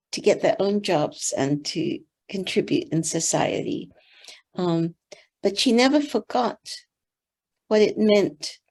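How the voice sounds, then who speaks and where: An older woman from Singapore whose first language is Teochew, giving gentle, thoughtful interview answers with soft pauses. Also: lowish voice. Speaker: interview guest, board member.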